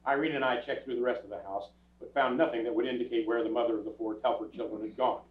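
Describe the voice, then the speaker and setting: An older man playing a calm, matter-of-fact police detective in a stage radio drama, with clipped delivery. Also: mid-range voice, fast, lightly acted. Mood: matter-of-fact narration. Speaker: actor and narrator in a stage radio play. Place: small theatre stage, recorded from audience camera. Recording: muffled, echoey stage recording from far off.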